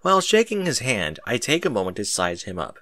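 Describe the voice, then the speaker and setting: A man reading a story as animated scripted narration, recorded close and dry. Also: mid-range voice, a little fast, no room sound. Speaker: narrator of a fan fiction audiobook.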